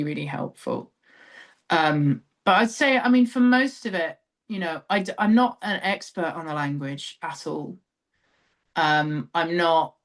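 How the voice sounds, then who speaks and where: A middle-aged British woman speaking reflectively as an interview guest, thoughtful and hesitant, often trailing off and rephrasing. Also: low-pitched. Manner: thoughtful, hesitant, trailing phrases with frequent hedging. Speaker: theatre director, interview guest.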